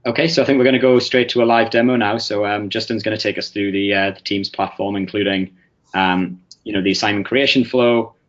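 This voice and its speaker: A lower-voiced man with a Northeast English accent gives a product webinar. He hesitates a lot, with frequent fillers and restarts. The recording sounds muffled and lo-fi. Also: steady tone, fairly quick, a bit bright. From England. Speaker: webinar presenter. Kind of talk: webinar presentation. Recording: muffled lo-fi audio.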